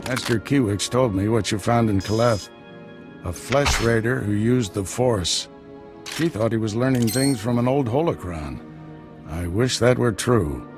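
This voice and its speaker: A man acts out a grave middle-aged Jedi mentor in a video game cutscene. His low voice is stern, measured and used to giving orders, with prominent music behind it. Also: close to an everyday voice. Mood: grave, troubled.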